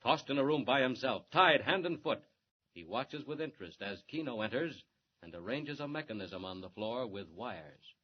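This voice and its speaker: A man's voice: medium pitch, expressive, normal speed. A man narrating a 1940s radio serial with urgent, dramatic delivery. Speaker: announcer and narrator. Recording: muffled old radio recording with hiss.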